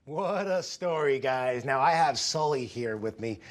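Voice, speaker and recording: A man's voice: medium pitch, expressive, measured pace, background noise. A man reporting live on location from a gym for a television news show. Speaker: field reporter. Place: inside a gym.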